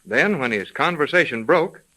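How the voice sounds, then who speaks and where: A man's voice: fairly high pitch, expressive, moderate pace, sharp tone, rough recording. A man playing a brash, eager detective's assistant with slangy, punchy talk, in a 1930s radio drama recording. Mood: puzzling it out.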